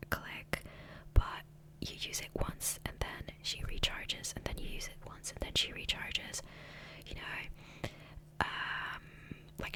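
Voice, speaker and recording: A woman whispering breathily in a casual, rambling ASMR style, close to the microphone. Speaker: ASMR gaming video creator. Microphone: close USB condenser microphone.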